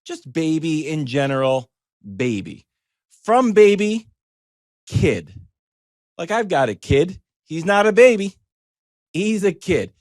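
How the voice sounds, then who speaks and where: A man's voice: fairly high pitch, big pitch swings, slow pace, pauses often, a little mellow, no echo. Middle aged american guy doing a funny casual monologue, voice jumps up and down a lot, really dry close sound. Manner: conversational, wry, acts out quoted asides. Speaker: sports show host telling a story.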